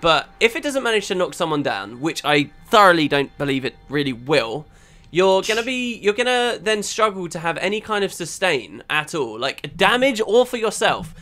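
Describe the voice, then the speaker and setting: Male gamer critic, higher-pitched and very animated; blunt, argumentative, conversational delivery. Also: moderate pace, few pauses. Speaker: game build reviewer and critic. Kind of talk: casual critical gaming chat.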